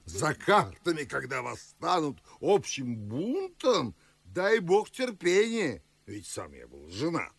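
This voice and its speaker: Pompous old gentleman, husky and theatrical, very animated intonation, dry studio radio play. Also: fairly high pitch, takes it slowly, dry recording, heavily acted. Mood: grumbling, opinionated. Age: older. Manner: declamatory, theatrical, old-fashioned. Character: pompous, garrulous old Moscow nobleman and father. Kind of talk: radio play acting in verse.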